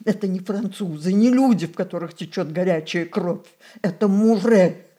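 An actress playing a spirited, rebellious centenarian matriarch, romantic and commanding, in a theatrical radio play style. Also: female voice, lowish voice, moderate pace, warm tone, lightly acted. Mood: passionate, commanding. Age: older.

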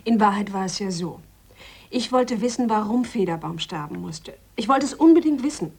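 Radio drama idealistic woman scientist, medium-pitched, husky, earnest and determined. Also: animated, moderate pace, a little mellow. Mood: insistent. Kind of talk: radio play dialogue, reflective confession.

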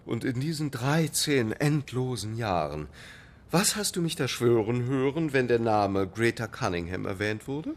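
An actor playing an imperious, sarcastic playwright with biting mock politeness in a German radio play. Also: male voice, medium pitch, expressive, measured pace. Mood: stern, irritated. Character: imperious, sarcastic male playwright with theatrical temper.

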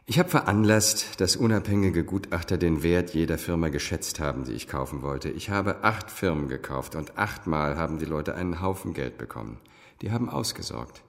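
Wealthy family man in radio drama: very deep, controlled, easily indignant, long room reverb. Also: expressive, moderate pace. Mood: guarded, businesslike. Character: wealthy businessman father, guarded, indignant when questioned. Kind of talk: German radio drama acting.

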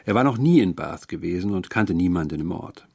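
A man reading a literary short story as a narrator, with measured, carefully articulated delivery. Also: deep voice, expressive, gravelly, darkish tone, slightly rough. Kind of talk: scripted literary audiobook narration.

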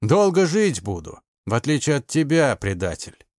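A man's voice: mid-range voice, big pitch swings, normal speed, darkish tone, dry recording, studio quality, pitched above the performer's own voice, close to an everyday voice. A man voicing a menacing, mocking male avenger who taunts his enemies over the phone in an audiobook. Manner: sneering, taunting.

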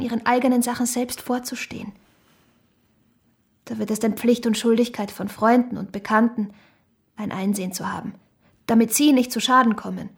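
An actress playing a frank, guarded young shopkeeper's daughter, speaking soberly and admonishingly in a radio play. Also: female voice, a little high, lightly acted.

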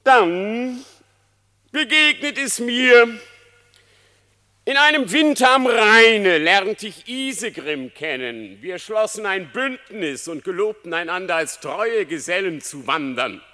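Man playing a high, ringing, declaiming fox. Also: lively intonation, takes it slowly, bright, forceful tone, heavily acted. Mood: confessing, sly. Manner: theatrical, solemn declaiming, mock-pious. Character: cunning condemned fox pleading publicly before a crowd. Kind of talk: dramatized literary verse reading. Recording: long hall-like reverb.

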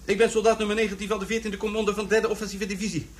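An actor playing a defiant captive commando in a radio drama, curt and reciting drilled phrases. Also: male voice, high voice, level tone, very fast, a little hiss or hum, natural voice. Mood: rigidly defiant. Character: defiant captive commando soldier, stubbornly reciting his rank.

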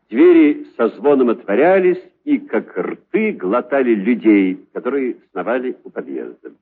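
A man narrating a children's story in an expressive, scripted storytelling style. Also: mid-range voice, narrow, tinny audio, slight background noise.

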